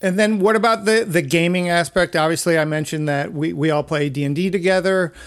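A man's voice: on the high side, fairly quick, clean tone. A man hosting a casual podcast interview, asking questions in a familiar, friendly-teasing way. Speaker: podcast host.

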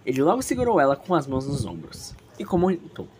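A woman's voice: low-pitched, huge pitch swings, fast talker, darkish tone, slight background noise. A woman with a Brazilian accent narrating an audio story in a dramatic, chatty way.

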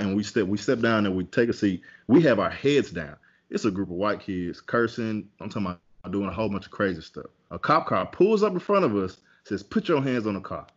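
A man's voice: lowish voice, slightly rough, muffled recording. A man telling a personal story in a casual podcast chat, candid and conversational. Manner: candid, conversational, with many fillers and restarts.

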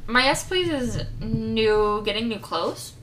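A young woman with a somewhat deep, even voice co-hosting a casual podcast, laid-back and giving short answers. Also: takes it slowly, loud music behind the voice, low-quality recording. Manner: laid-back, brief answers, laughs often. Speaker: podcast co-host. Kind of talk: casual chat.

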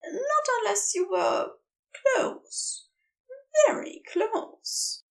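A woman voicing a gentle, nervous male angel in an audio story, speaking softly, carefully and hesitantly. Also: very high-pitched, lively intonation, drawn-out words, vocal fry, recorded from a distance, pitched above the performer's own voice, full character voice. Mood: hurried, nervous.

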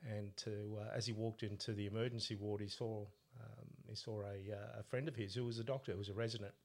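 Australian man telling relaxed stories. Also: fairly low pitch, fast talker. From Italy. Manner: relaxed storyteller, laughs at own stories. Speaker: podcast co-host, coach. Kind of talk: casual conversational chat.

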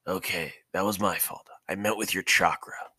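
A man's voice: low voice, steady tone, normal speed, sharp tone, breathy edge, no echo, exaggerated voice. A man voicing a dry, patient adult ninja teacher in a fan fiction audiobook, instructive and mildly exasperated.

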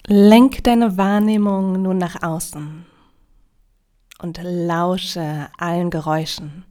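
A woman guides a deep relaxation meditation. Her voice sits a little low and she speaks very slowly. She sounds calm and soothing, leaving long, measured pauses between phrases. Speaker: yoga nidra guide.

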